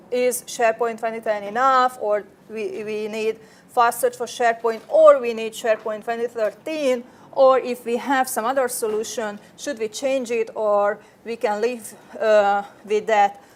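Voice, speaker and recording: A woman from Hungary with a Hungarian accent, presenting a technical talk at a conference in an earnest way, often restarting her phrases. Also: on the high side. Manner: earnest, frequent restarts and fillers. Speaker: conference speaker.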